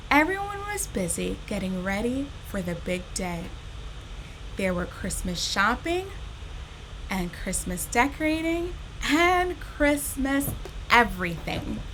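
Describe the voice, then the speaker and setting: A woman hosting a storytime, reading a children's story expressively and speaking directly to young listeners in an animated, unhurried way. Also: fairly high pitch, slight background noise, rough recording. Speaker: storytime host reading a children's picture book.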